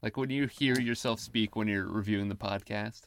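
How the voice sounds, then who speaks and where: A man co-hosting a casual podcast, with a deep, smooth and monotone voice. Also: moderate pace, no echo. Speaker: podcast co-host.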